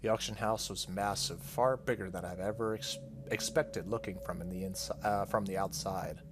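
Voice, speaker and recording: A man's voice: low voice, fairly even intonation, normal speed, background noise, natural voice. Man reading as an uneasy, frank young girl. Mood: uneasy, earnest. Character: frank, uneasy teenage girl telling a story.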